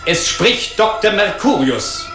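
An actor playing a formal broadcast announcer in a 1940s radio drama. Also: male voice, high voice, very animated, slow pace, reverberant, over music, full character voice.

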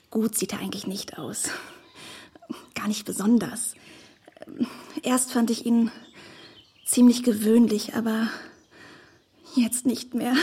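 A woman's voice: a little high, warm tone, husky. An actress playing a naive, eager and deferential young woman in a radio play, artless and hesitant in her speech. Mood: hesitant, earnest.

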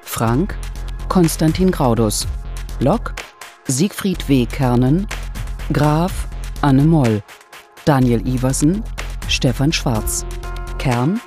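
A man's voice: mid-range voice, a little mellow. A man formally reading out the closing credits of a radio play. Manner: slow, formal announcing. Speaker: credits announcer.

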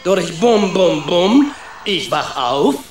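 A carefree, well-travelled rat full of boasts, with an Italian accent. He tells stories slowly and theatrically in broken syntax, as in a children's radio play. Music plays behind him in a roomy space. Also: sits high, expressive. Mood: playful, boastful.

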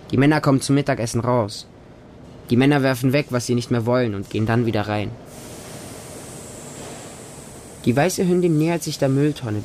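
A man's voice: medium pitch, darkish tone, slight background noise, natural voice. A man playing a small, wary homeless boy who narrates his hungry street life in short, simple sentences for a radio drama. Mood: watchful, sad. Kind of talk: radio drama monologue in present tense.

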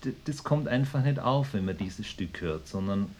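A man acting an evasive, anxious small-town history teacher in a radio mock documentary, speaking haltingly and repeating himself. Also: lowish voice, expressive, a little mellow. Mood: uneasy, evasive.

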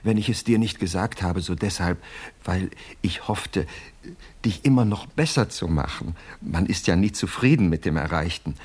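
An actor playing a pompous, miserly older widower in a radio play, self-justifying and fussily indignant, with a husky voice. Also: male voice, sits low, animated, normal speed, darkish tone.

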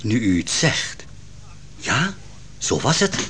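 An actor playing an aide who soberly reports on a trial in a biblical radio drama, husky and measured. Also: male voice, medium pitch, wildly swooping pitch, fairly bright tone, exaggerated voice.

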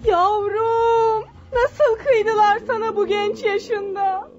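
A woman's voice: very high-pitched, fairly even intonation, slow pace, over loud music. An actress playing a grief-stricken mother, wailing and tearful, in a radio drama. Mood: anguished. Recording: muffled radio drama, loud background voices.

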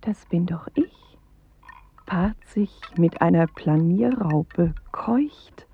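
A weary, wry woman recites bleak radio poetry very huskily. Her low voice is slow and intimate, as if confiding. The sound is narrow and muffled. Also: expressive, exaggerated voice. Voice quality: husky, gravelly. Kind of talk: poetic radio drama monologue. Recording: muffled, phone-like 1980s radio recording.

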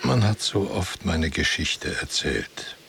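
A grave, ancient wanderer who guards a book. His very low voice moves slowly and solemnly through cryptic radio-drama answers, with music clearly behind him. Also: lively intonation, heavily acted. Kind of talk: poetic radio drama dialogue.

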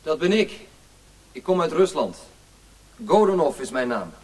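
A man's voice: fairly high pitch, sing-song, a little slow, darkish tone, distant mic, rough recording. A man playing a proud, bargaining Russian military instructor for hire in a radio drama. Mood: assured, haggling.

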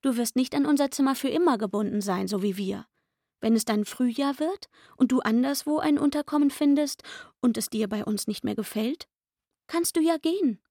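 An actress playing a pleading young chambermaid in a radio play, speaking intimately and wistfully. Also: female voice, high voice, moderate pace, warm tone, no room sound, studio quality. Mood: coaxing, pleading. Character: pleading young chambermaid luring a man into secret shelter. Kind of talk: literary radio play acting.

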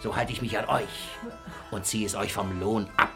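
An actor playing a fussy old miser and master of the house in a radio play, hesitant and repeating himself. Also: male voice, lowish voice, fairly even intonation, moderate pace, sharp tone, faint music behind. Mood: bossy, penny-pinching. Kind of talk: theatrical German radio play acting.

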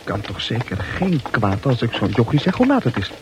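An actor playing a fretful muttering bachelor. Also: male voice, medium pitch, huge pitch swings, normal speed. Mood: fretting. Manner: anxious inner monologue. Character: nervous bachelor muttering his thoughts. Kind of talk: 1960s radio play acting. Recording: noisy old radio play recording.

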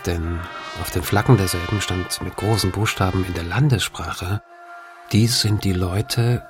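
An actor voicing a formal, dignified eighteenth-century European travel chronicler in measured, old-fashioned language. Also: male voice, low voice, steady tone. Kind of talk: literary reading of a historical travel account. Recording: music behind the voice. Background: music.

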